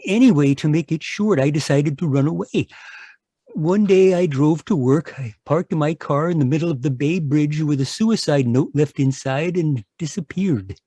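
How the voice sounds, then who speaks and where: A man's voice: a little high, moderate pace, warm tone, no room sound, lightly acted. An actor playing a timid, apologetic, world-weary man of about fifty in a radio mystery, hesitant and stammering. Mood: rueful. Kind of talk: radio mystery drama acting. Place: live remote performance over video call.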